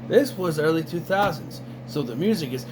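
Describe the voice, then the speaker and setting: A man in casual commentary, reviewing an animated series in a rambling, sarcastic way with lots of fillers. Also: fairly high pitch, normal speed, background noise. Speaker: video reviewer.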